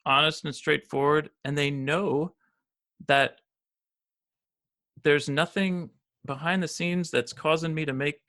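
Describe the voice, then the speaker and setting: American guy, 50s, gravelly, bright tone, lots of restarts and ums. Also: on the high side, fairly even intonation, takes it slowly, long pauses. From United States. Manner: rambling, frequent restarts and fillers. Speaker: podcast guest, financial advisor. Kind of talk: casual interview conversation.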